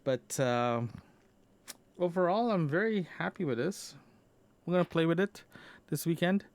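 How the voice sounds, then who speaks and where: Halting, casual man talking through his day. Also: fairly high pitch, expressive. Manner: halting, rambling, repeats and backtracks. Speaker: podcast host. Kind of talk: casual audio diary about personal gear.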